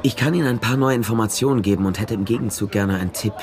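An actor playing a wry, laconic private detective in a German radio crime drama, narrating and talking dryly. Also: male voice, lowish voice, rapid-fire, noisy background, natural voice. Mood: businesslike. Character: Nestor, wry, dogged male private detective. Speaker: detective narrator and lead character.

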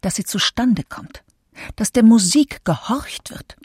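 A woman's voice: a little high, animated, unhurried, a little mellow, husky, no echo, in a whisper, studio quality. A woman reading quotations from a script in a radio feature.

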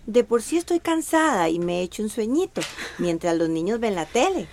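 A woman playing a tired, bedridden aunt in a radio drama, gently reassuring. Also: medium pitch, big pitch swings, normal speed, slight background noise. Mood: weary, reassuring. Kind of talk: Spanish-language radio drama acting.

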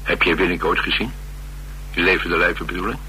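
A restless, wisecracking Dutch loner in a radio drama. His voice is very low and has a bright, forceful edge. He banters in a sardonic, streetwise way, over faint hum and hiss. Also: fairly even intonation, fairly quick, rough recording. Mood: wry, boastful. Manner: flippant, sardonic, colloquial banter. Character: cocky, restless, wisecracking male loner on the run. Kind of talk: naturalistic radio play dialogue.

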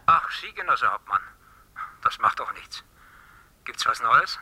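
A man's voice: medium pitch, sing-song, a little slow. An actor playing a deferential male subordinate answering a late phone call in a German radio crime drama, speaking briefly. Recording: noisy telephone call scene.

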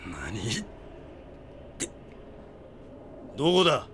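An actor playing a brash, hot-headed, proud swordsman in an anime audio drama, with rough, defiant speech that bursts into shouts. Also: medium pitch, wildly swooping pitch, extremely slow, a little hiss or hum, heavily acted.

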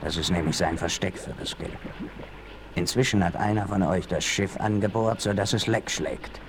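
An actor playing a scheming, bossy outlaw gang leader in a conspiratorial, commanding manner in a 1960s radio play. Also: male voice, sits low, moderate pace, lightly acted. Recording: noisy 1960s vinyl record rip.

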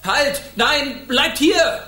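An actor playing a stern, anxious knight captain in a radio drama, speaking in formal, archaic courtly language. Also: male voice, very high-pitched, expressive, extremely slow, bright, forceful tone, roomy sound, full character voice. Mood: alarmed, urgent. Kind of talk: German children's radio drama acting.

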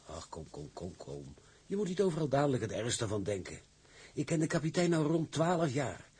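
A loyal, gruff shipmate in broadcast drama. His voice is extremely husky at a medium pitch, and he waves worries away in a soothing manner. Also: big pitch swings, moderate pace, darkish tone. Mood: soothing, dismissive. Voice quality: husky, rough. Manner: dismissive, reassuring.

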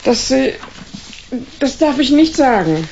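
High-voiced, frightened, pleading actress. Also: female voice, sing-song, slow, a little mellow, muffled recording, slight background noise, heavily acted. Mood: guarded. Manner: anxious, hesitant, pleading. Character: frightened, timid small-time actress hiding a lie. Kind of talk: radio drama acting.

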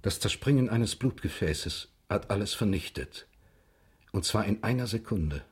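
An actor playing a grave, formal middle-aged prosecutor in a German radio drama, speaking in a measured, husky voice. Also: male voice, fairly low pitch, animated, heavily acted.

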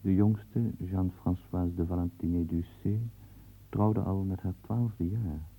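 A man narrating a radio play in a measured, matter-of-fact way. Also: deep voice, fairly even intonation, mellow, husky, low-quality recording.

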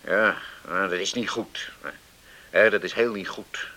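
A man playing a steady, contented middle-aged island bachelor in a Dutch radio drama, calm and plain-spoken as he reassures. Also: low-pitched, unhurried. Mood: calm, firm.

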